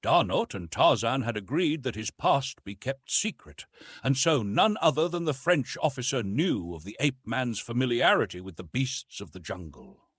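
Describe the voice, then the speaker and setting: A man narrates an adventure audiobook with wide, expressive swings of pitch. His voice is a little husky at a medium pitch, and the recording is close and dry. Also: moderate pace, dry recording. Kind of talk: scripted literary narration.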